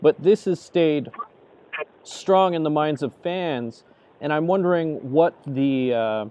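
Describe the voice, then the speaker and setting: A man's voice: fairly high pitch, takes it slowly, dark tone, smooth, muffled recording. A man interviewing a guest, asking a long question while searching for words. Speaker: interviewer, podcast host.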